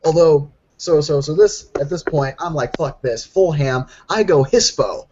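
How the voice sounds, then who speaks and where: A man's voice: a little high, unhurried, mellow, dull audio, natural voice. A man playing a brash werewolf telling a battle story in a casual, profane, rambling and boastful way. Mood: excited bragging. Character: brash young male werewolf storyteller bragging about a fight. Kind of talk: in-character tabletop roleplay storytelling.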